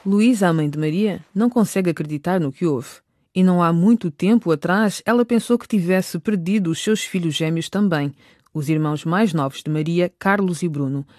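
A woman's voice: lowish voice, normal speed, darkish tone, no echo. A woman reading scripted narration for a radio drama as its narrator.

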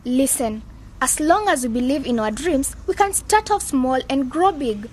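A woman's voice: high-pitched, lively intonation, normal speed, a little hiss or hum. An actress playing a confident, idealistic young woman leading a youth club in a radio drama, with a persuasive, upbeat manner. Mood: enthusiastic, persuasive.

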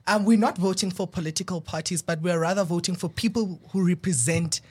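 A woman's voice: lowish voice, fairly quick, darkish tone, slightly rough. A twenty-year-old woman from South Africa with a South African accent, a panel guest who jokes between her points. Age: 20s. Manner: joking, laughs between points.

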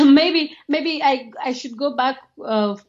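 A woman from Kenya talks as a guest on a podcast, relaxed and laughing between her words, often pausing on uh. Her voice comes through a somewhat muffled remote line. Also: high-pitched. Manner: warm, laughs while talking, many uhs. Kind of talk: casual interview.

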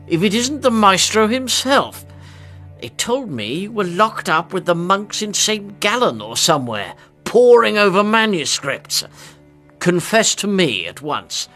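A man's voice: a bit bright, full character voice. A man voicing a pompous, fleshy upper-class English bachelor in an audiobook, effusive and nosy, with a confiding bellow that can drop to a murmur. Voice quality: booming bellow dropping to a murmur. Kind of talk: audiobook character acting.

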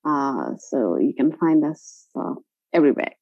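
A woman with an Indian accent speaks as an interview guest over dull, lo-fi audio. Her voice is mellow and mid-pitched, and her informal delivery halts often with hesitations. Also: big pitch swings, no echo. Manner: informal, halting, frequent hesitations. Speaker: interview guest, travel company founder. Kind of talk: casual interview conversation.

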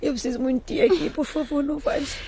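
A woman's voice: high voice, quick pace, gravelly, warm tone. A woman playing a drained, grieving mother in a radio drama, tearful and weakly pleading. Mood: pleading, fearful. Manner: weak, pleading. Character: exhausted, grieving, clinging mother in distress.

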